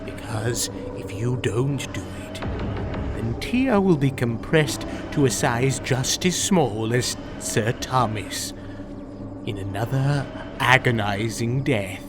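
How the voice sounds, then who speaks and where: An actor playing a calm, condescending alien villain in an audio drama, with mock politeness and deliberate delivery. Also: male voice, medium pitch, over music. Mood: coercive.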